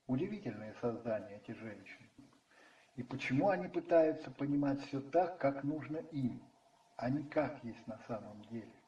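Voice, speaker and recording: A man narrating a radio play dramatically from a script, in measured phrases with pauses. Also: mid-range voice, lively intonation, mellow, highs cut off. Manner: measured, pausing between phrases. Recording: roomy echo, distant, faint music behind.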